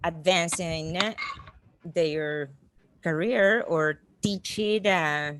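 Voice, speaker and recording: A woman speaking earnestly and deliberately in an online meeting, halting between words as she builds her sentences. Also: fairly low pitch, a bit bright. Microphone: online video call.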